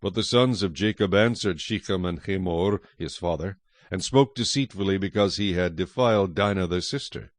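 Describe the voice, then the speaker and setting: A man narrating scripted scripture in a solemn, measured storytelling manner. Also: low voice, no echo, studio quality.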